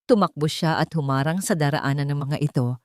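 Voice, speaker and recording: Low-voiced woman narrating a drama. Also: a little fast, no echo, clean studio sound. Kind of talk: dramatic scripted storytelling.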